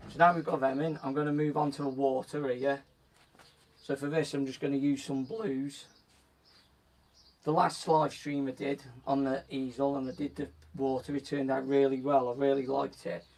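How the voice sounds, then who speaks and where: A man with a croaky voice casually explaining each step of a painting as he works. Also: medium pitch, normal speed. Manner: relaxed, talks while working, frequent "so" and "just gonna". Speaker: painting instructor on a live stream. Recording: voice from a distance from the mic, faint background noise.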